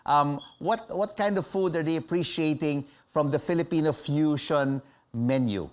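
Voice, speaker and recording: A woman's voice: deep voice, normal speed, darkish tone. A woman hosting a news interview, asking questions in a chatty way with many hesitations. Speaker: news show host. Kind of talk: broadcast interview.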